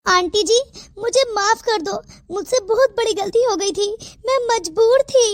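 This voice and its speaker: Very high girlish pitch, woman acting as meek young woman, pleading, emotional cartoon melodrama, dry sound. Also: a little slow, dry recording. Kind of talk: Hindi moral-story cartoon dialogue.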